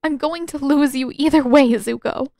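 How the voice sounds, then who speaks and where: A determined teenage girl, played by a woman narrator, pleads in a high, urgent, tearful voice. The storybook drama is earnest, and the recording is dry and close. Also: steady tone, normal speed, darkish tone, no echo. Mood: desperate, tearful.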